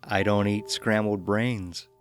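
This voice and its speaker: A man voicing a gentle, reassuring father with a soft, tender manner in an audio horror story. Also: lowish voice, fairly even intonation, slow, soft background music, pitched above the performer's own voice. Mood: playful, reassuring. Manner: tender, soothing. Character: gentle, worried, reassuring father of two young girls.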